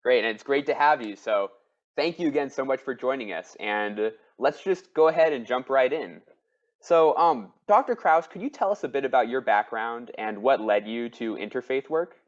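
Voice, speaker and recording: A man's voice: medium pitch, lively intonation, normal speed, lo-fi sound. A man hosting a podcast interview who asks questions in a friendly, polite way with a few fillers. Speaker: podcast host.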